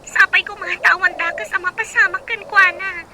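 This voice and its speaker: Alarmed, very high-voiced Filipino sister. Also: female voice, fairly even intonation, normal speed, creaky, background noise. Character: alarmed, concerned grown daughter and protective sister. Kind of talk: radio drama acting.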